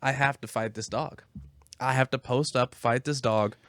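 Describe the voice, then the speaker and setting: A man's voice: medium pitch, steady tone, moderate pace, a bit bright, no room sound, clean studio sound. A man in casual podcast banter, swearing and using slang as he jokingly acts out little scenarios. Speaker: podcast co-host.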